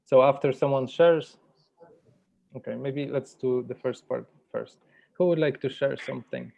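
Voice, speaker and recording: Male facilitator, measured and deliberate, creaky voice, slightly dull online-call sound. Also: medium pitch, warm tone. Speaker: workshop facilitator. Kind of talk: guided meditation and workshop facilitation. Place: virtual workshop over video call.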